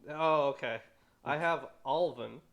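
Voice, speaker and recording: A man's voice: a little high, unhurried, a bit bright. A man chatting casually and commenting as a co-host on a talk show.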